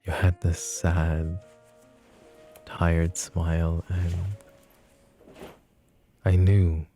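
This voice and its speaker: A man acting a flirty, possessive mafia boss in an intimate ASMR roleplay, speaking softly and unhurriedly in a teasing, world-weary way. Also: sits low, flat delivery, very slow, soft background music. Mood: wistful, confessing. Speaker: voice actor.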